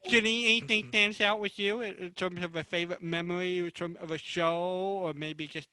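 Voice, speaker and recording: High-pitched bright-voiced man moderating. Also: little pitch movement, a little fast. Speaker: moderator, interviewer. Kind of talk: casual live panel interview.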